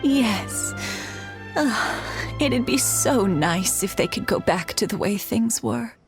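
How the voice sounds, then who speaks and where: An older mother in a video game, gentle and wistful. She speaks slowly with expressive rises and falls, caring yet resigned. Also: mid-range voice, over music. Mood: regretful.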